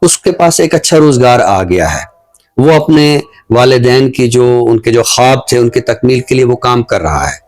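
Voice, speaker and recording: A man giving thoughtful, earnest commentary on a talk show in a measured, phrase-by-phrase way. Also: medium pitch, lively intonation, a little mellow.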